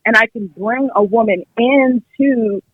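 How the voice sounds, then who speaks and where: A woman's voice: a little high, a little slow, breathy edge, no room sound, narrow, tinny audio. A young American woman calling in to a podcast, arguing her opinion conversationally and emphatically, often acting out what others would say. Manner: emphatic, acts out imagined quotes. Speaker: caller on a podcast.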